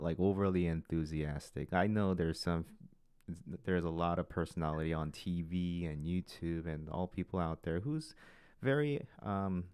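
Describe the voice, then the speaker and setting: A man with a Korean accent, Korean as his first language, hosting a casual talk show in a slow, hesitant way, pausing to form his sentences. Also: deep voice, darkish tone, clear, resonant tone, no room sound. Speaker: podcast host.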